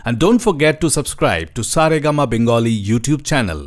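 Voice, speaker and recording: A man's voice: mid-range voice, sing-song, extremely slow. A man with an Indian accent reading a scripted promotional announcement for a show.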